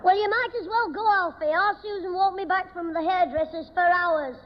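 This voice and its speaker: A cheeky little schoolboy with a very high voice and a Lancashire accent. He fires off dry wisecracks in radio sitcom style. The sound is an old, slightly noisy recording in a reverberant hall. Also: normal speed, dull audio. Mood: cheeky, dismissive. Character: cheeky, quick-witted schoolboy with a sharp tongue. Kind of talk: broadcast radio sitcom acting.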